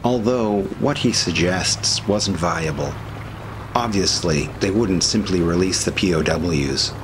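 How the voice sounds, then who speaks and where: A man reading scripted documentary narration with commentary in a measured, formal way. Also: sits low, noisy background. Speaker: history video narrator.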